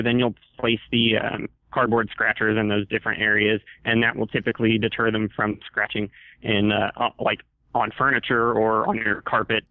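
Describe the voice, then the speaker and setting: Phone-line sound, man, store employee, average pitch, patient, hesitant, explanatory customer service. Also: no echo. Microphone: telephone. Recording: tinny, narrow phone line.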